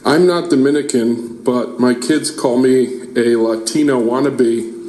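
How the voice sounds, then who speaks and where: A man in his forties, a parent giving a heartfelt prepared speech at a public meeting, now and then halting and restarting. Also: medium pitch, warm tone. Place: large meeting room. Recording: echoey meeting hall.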